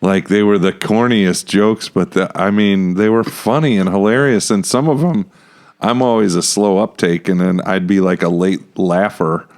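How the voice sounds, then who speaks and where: Very low-voiced man chatting casually. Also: fairly even intonation, normal speed, darkish tone. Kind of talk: casual chat.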